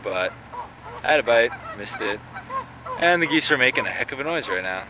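A man's voice: mid-range voice, lively intonation, moderate pace. A young man vlogging outdoors with a camera held at arm's length, talking in a chatty, rambling way. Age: young adult. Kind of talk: casual fishing vlog. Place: outdoors by a pond. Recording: faint outdoor background noise.

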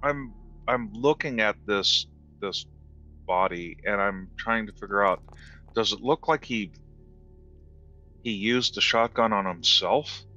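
A man playing a tabletop game online, asking things in a halting, casual way. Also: fairly low pitch, pushed and bright, lo-fi sound. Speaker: tabletop role-playing player. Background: music.

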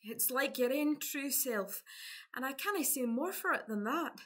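An actress playing a sweet, canny young Scottish peasant lass, demure and coaxing, in a farcical radio play. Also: female voice, sits high, lively intonation, unhurried, fairly bright tone. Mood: tender, coaxing.

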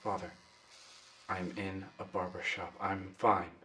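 A man's voice: low-pitched, little pitch movement, slow, sharp tone, exaggerated voice. A man playing a dutiful son leaving a voicemail for his father in an audio drama. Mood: reassuring, uneasy. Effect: telephone voicemail. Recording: very noisy, distant phone voicemail.

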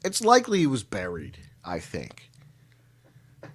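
Man, middle-aged, lower pitch, conversational storyteller, measured delivery, dramatic pauses. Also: huge pitch swings, husky. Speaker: guest storyteller and researcher of mysteries. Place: indoor room, video call.

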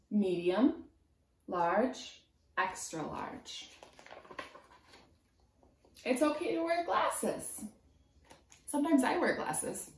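A woman in her twenties reads a picture book aloud as a preschool teacher, pausing to put simple, encouraging questions to young children. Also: a little high. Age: 20s. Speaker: preschool teacher reading a storybook. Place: small room at home, indoors. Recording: roomy indoor echo.

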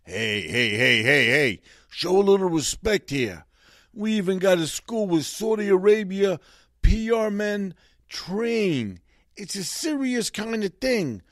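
Man doing a mobster-voiced official, New York Italian-American accent, high-pitched, slow drawl, evasive, comedy sketch. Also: animated, fairly bright tone, dry recording, clean studio sound, exaggerated voice. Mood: defensive. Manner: evasive, tough-guy street talk, slow and drawling. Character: shifty male Saudi PR chief with a mobster manner.

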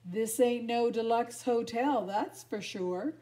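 A scruffy male pound dog from a storybook, acted out by a woman. He is a streetwise tease with slangy wisecracks, dragged out in a slow drawl. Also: a little high, dark tone, pitched above the performer's own voice. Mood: teasing.

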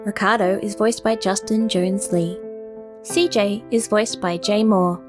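A woman's voice: mid-range voice, steady tone, measured pace. Woman reading credits over music. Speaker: credits announcer. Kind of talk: scripted credits narration.

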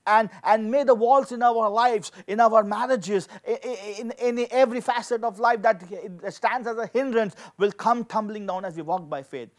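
A man in his forties preaching a sermon as a pastor, emphatically, repeating key phrases for effect. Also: high voice. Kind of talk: sermon with scripture reading and prayer. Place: indoor studio with dark backdrop, at a lectern. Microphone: handheld microphone.

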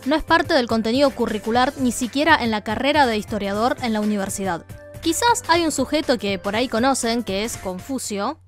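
A woman's voice: on the high side, lively intonation, a little fast, soft background music. Young woman host. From China. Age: young adult. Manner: chatty, wry asides. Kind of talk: casual explainer video. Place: indoor room. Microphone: lapel.

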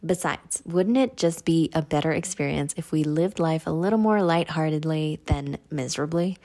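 A woman leading a guided meditation in a measured, instructional way. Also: low voice, vocal fry, a little mellow.

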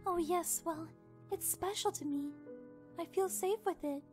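A woman voicing a gentle, shy, earnest young pony in an animated fan drama, soft-spoken and hesitant. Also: very high-pitched, unhurried, over music.

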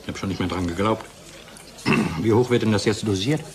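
An actor playing a sceptical, careful scientist with a questioning, hesitant manner, in a German radio drama. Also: male voice, fairly low pitch, fairly quick, natural voice. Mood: incredulous.

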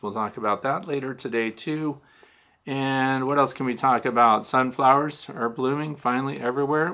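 A man's voice: mid-range voice, a bit bright. A man in his sixties hosting a beekeeping question show, explaining step by step and answering his own questions. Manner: explanatory, poses questions then answers them. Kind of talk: casual instructional talk to camera. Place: home studio with neon sign, indoors.

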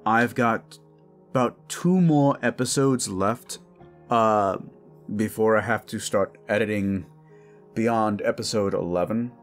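A man with a fairly low voice talks informally to his listeners as an audio drama creator. He is appreciative and a little hesitant, with music behind him. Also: takes it slowly. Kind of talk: casual thank-you outro to listeners.

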